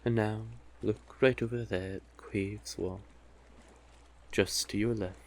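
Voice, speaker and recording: Slow, low-voiced doting sea witch played by a man. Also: steady tone, slight background noise. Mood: doting, teasing. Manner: coaxing, unhurried, tender with pet names. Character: doting, possessive, manipulative male sea witch. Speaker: audio roleplay performer. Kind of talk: intimate scripted roleplay addressed to the listener.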